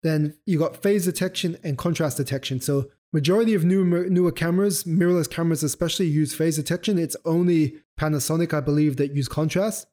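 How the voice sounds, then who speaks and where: A man's voice: fairly high pitch, a little fast, few pauses, warm tone. A young man hosting a solo photography podcast, explaining loosely with fillers and self-corrections, his throat sore and his voice starting to go. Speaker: podcast host.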